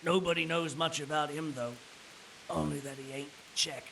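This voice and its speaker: An actor playing a resigned, cynical captured mercenary in a video game drama, wry and matter-of-fact, with a gravelly voice. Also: male voice, on the high side, fairly even intonation, normal speed, sharp tone, a little hiss or hum. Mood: cynical, frank.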